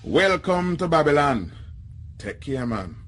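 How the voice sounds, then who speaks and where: A man's voice: a little high, big pitch swings, slow pace, fairly bright tone, slight background noise, exaggerated voice. A man playing an easygoing, loyal Rastafarian spacer with a Jamaican accent and laid-back patois phrasing in a radio drama. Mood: wary, easygoing.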